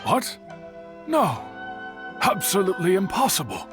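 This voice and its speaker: Polite solemn middle-aged blacksmith guy, bit higher voice, measured game dialogue, faint music. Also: huge pitch swings, exaggerated voice. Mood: shocked, defensive. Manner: formal, measured, earnest. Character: courteous, earnest middle-aged Japanese swordsmith uncle, solemn. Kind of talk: video game voice acting.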